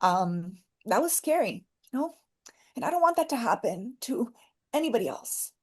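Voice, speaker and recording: A woman's voice: fairly high pitch, very animated, moderate pace, breathy edge. A woman speaking as a podcast guest in an earnest, encouraging, heartfelt conversational way, repeating phrases for emphasis.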